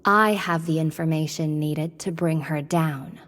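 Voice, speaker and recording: A woman's voice: sits low, fairly even intonation, a little slow, fairly bright tone. An actress playing a determined, earnest witch rebel in a video game cutscene. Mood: urgent, resolute.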